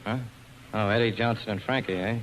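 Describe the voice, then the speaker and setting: An actor playing a gruff, suspicious saloon boss, blunt and wary, in the style of 1940s radio drama. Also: male voice, on the low side, fairly even intonation, brisk, a bit bright, lightly acted. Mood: surprised, wary. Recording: noisy, hissy old radio recording.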